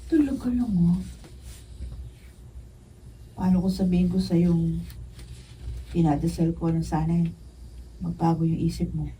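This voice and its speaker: A very mellow, soft-edged voice of a lovestruck young woman, played by an actress, bold and coquettish with a pouty yearning. It is radio drama acting, recorded at a distance with some room noise. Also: fairly low pitch, fairly even intonation, a little slow, pauses often, close to an everyday voice. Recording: muffled distant mic, noisy room.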